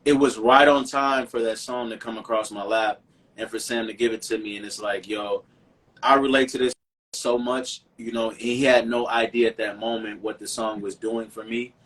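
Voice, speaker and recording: A man's voice: lowish voice, fairly even intonation, normal speed, a bit bright, far from the mic. A man from Chicago, a singer guest in a casual interview, talking in a reflective, earnest way and often repeating words as he thinks. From Chicago, USA.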